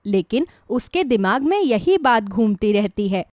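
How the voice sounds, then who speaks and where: High-pitched, lively woman storyteller. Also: a little slow, no echo, studio quality. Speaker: narrator. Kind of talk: scripted storybook narration for a moral tale.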